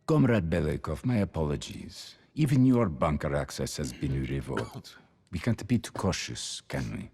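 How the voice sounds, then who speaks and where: An actor playing a menacing, silky Soviet security chief in a video game, slow, deliberate and mock-courteous. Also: male voice, rumbling low, sing-song, vocal fry, warm tone, husky, full character voice. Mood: mock apology. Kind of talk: dramatic video game cutscene acting.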